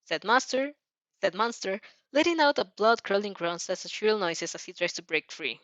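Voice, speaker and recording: A woman's voice: mid-range voice, animated, moderate pace, a bit bright, no echo. Woman narrating fan fiction, slightly dull sound. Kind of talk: dramatic story narration.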